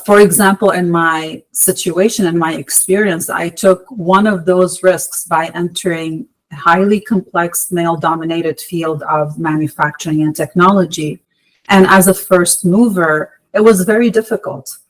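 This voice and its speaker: Female podcast guest from Lebanon: measured, articulate, motivational, emphatic phrasing. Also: lowish voice, steady tone, rough recording. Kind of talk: prepared motivational interview answers.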